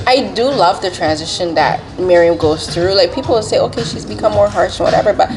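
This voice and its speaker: A woman in her forties from Barbados, interviewing a filmmaker in a casual, animated way, laughing often and quoting herself. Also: mid-range voice, fairly quick, music in the background, poor audio. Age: 40s.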